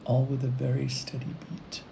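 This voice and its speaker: A woman leading a guided meditation, speaking in unhurried phrases with long pauses between them. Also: extremely low pitch, completely flat delivery, creaky, noisy background. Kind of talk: guided meditation, gentle imagery narration.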